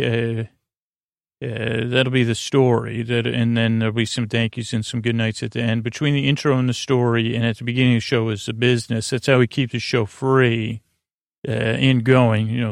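A man's voice: mid-range voice, vocal fry, no echo, clean studio sound. A man hosting a sleep podcast, giving a soothing, meandering monologue that halts, corrects itself and drifts into tangents. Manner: rambling, halting, frequent tangents and self-corrections; soothing, lulling delivery. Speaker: podcast host.

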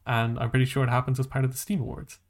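A man's voice: mid-range voice, level tone, rapid-fire. Male podcast co-host, mid-range voice, loose conversational delivery that circles and rephrases as it goes. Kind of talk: casual podcast chat.

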